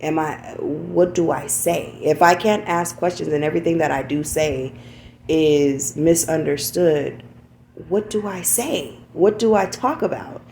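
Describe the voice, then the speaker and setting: Low, gravelly woman speaking candidly. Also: a little slow, a little mellow, slight background noise. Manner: candid, repeats "you know what I'm saying". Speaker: podcast host. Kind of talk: reflective personal monologue.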